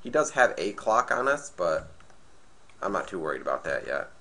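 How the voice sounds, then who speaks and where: A man with a slightly raspy, nasal voice from being sick, casually commentating a card game match and thinking aloud as he plays. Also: sits low, very animated, normal speed, pushed and bright. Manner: thinking aloud, hesitant restarts. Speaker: gameplay commentator.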